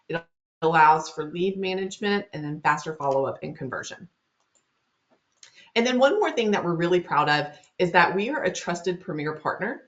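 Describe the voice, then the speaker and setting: Fast-talking Southern woman hosting a webinar. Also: lowish voice, a bit bright. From Louisiana, US. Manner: friendly, chatty, talks fast. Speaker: webinar host. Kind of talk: presenting a marketing webinar. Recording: dull lo-fi web-call audio.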